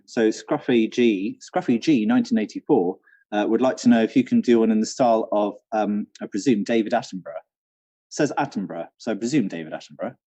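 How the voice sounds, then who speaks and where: A man co-hosting in casual chat, hesitant and gently teasing. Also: on the low side, brisk, breathy edge. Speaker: co-host, venue manager. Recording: dull, band-limited video call audio.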